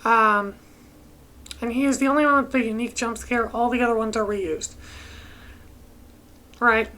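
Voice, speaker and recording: A woman giving casual, halting gameplay commentary as a video host, often interrupting herself mid-thought. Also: a little high, fairly even intonation, sharp tone, a little hiss or hum. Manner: halting, rambling, self-interrupting.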